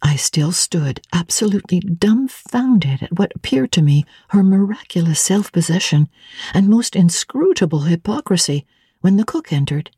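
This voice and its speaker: A woman giving a dramatic, deliberate and expressive reading of a literary novel as an audiobook narrator. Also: on the low side, breathy edge, no room sound, clean studio sound.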